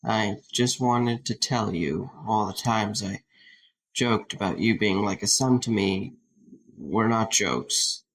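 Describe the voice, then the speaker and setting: A man playing a grieving, awkward young former security guard in an audio drama, speaking haltingly. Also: lowish voice, fairly bright tone, husky, heavily acted, low-quality recording. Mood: somber. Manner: halting, hesitant.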